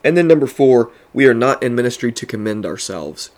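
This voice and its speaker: A man's voice: mid-range voice, animated, brisk, a little mellow. A man with a mid-range voice, stuffed up and congested, talking to fellow pastors in an earnest, preacherly way. He leans into his key points as a podcast host giving devotional teaching.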